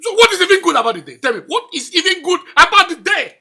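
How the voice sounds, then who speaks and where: An actor playing an irate, bullying older father-in-law with a Nigerian accent and a raspy voice, scolding in repetitive outbursts. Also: high-pitched, sing-song, normal speed, bright, forceful tone, exaggerated voice.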